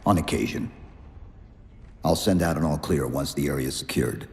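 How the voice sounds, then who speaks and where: Deep, stoic armoured super-soldier. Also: male voice, normal speed, husky, exaggerated voice. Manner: terse, deadpan. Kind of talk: video game cutscene acting.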